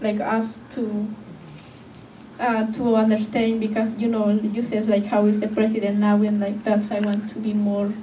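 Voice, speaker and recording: A young woman, a native Spanish speaker, answering questions at a public event in halting English full of fillers. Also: medium pitch, completely flat delivery, dark tone. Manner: hesitant, filler-heavy non-native English. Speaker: author sharing her story. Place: community media event room. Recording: distant, echoey with faint noise.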